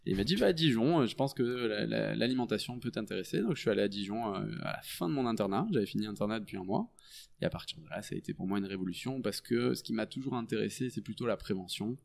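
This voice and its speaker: A man in his thirties, a French doctor, explaining his work in a relaxed podcast chat full of hesitations. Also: lowish voice, fast, vocal fry. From France. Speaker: guest doctor. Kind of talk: casual podcast interview.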